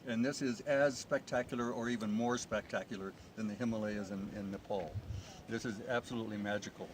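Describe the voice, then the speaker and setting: A man's voice: lowish voice, steady tone, fairly quick. A middle-aged man from the United States, a tourist guest describing his trip with enthusiasm, recorded outdoors in the mountains. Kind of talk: outdoor interview. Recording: noisy outdoor ambience.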